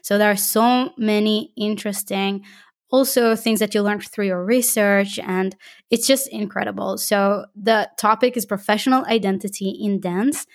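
A woman's voice: medium pitch, fairly even intonation, moderate pace. A woman with an Israeli accent hosting a podcast, effusive and encouraging as she thanks her guest and reads her outro. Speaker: podcast host. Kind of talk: warm interview wrap-up and scripted outro.

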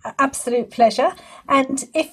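A woman's voice: sits high, moderate pace. A woman hosting a podcast, moving between scripted introduction and casual interview chat. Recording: remote conversation over video call.

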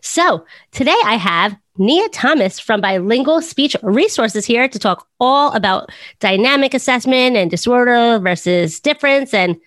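A woman's voice: a little high, expressive, measured pace, a bit bright, no room sound. A woman hosting a podcast in an upbeat, chatty way, reading an intro and interviewing a guest. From United States. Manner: upbeat, chatty promotional delivery. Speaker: podcast host.

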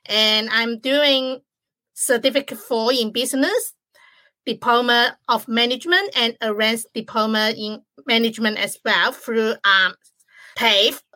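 A young woman from China with a Chinese accent, speaking English as a second language in a halting way with grammar slips, as a podcast guest. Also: on the high side, sharp tone, no echo. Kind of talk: podcast interview.

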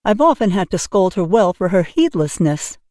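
A woman's voice: fairly low pitch, big pitch swings, normal speed, mellow, no echo, clean studio sound. A woman voicing a fussy, kindly older neighbor woman who chatters and exclaims in a flustered way.

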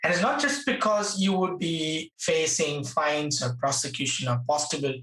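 A man interviewing a guest conversationally, in a halting way, repeating phrases for emphasis. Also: on the high side, bright, forceful tone. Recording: roomy echo, distant from mic.

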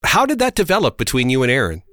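A man hosting an interview, friendly, pausing and restarting his questions. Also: mid-range voice, wildly swooping pitch, a bit bright, no room sound, studio quality. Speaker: interview host. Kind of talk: casual interview.

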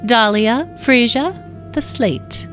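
A woman voicing a tiny, formal fairy who speaks in precise, elaborate phrases, with soft music behind. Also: a little high, slow pace, voice pushed up. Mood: commanding.

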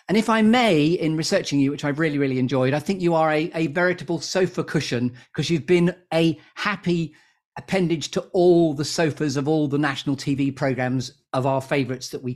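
A man hosts an interview podcast with a slightly high voice. He is effusive and playful, fond of wordplay, and addresses his guest like a showman introducing an act. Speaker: podcast host. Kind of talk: interview with a scripted-sounding show intro.

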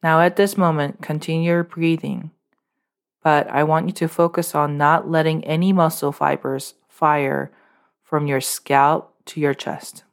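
Low-voiced woman guiding a relaxation. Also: creaky. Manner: calm, unscripted guidance with fillers. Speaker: meditation guide. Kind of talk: guided relaxation meditation.

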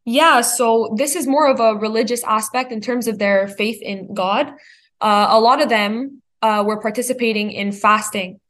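A woman's voice: fairly high pitch, fairly even intonation, normal speed, a bit bright. A young woman in her late teens, a student answering interview questions in an articulate, thoughtful way. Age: teens, high school senior.

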